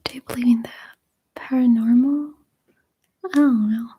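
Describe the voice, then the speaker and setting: A young woman with a Russian accent, whispering softly and hesitantly as she answers viewer questions. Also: a little high, steady tone, moderate pace, slightly rough. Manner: soft unvoiced whispering, hesitant with fillers. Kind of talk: whispered ASMR Q&A.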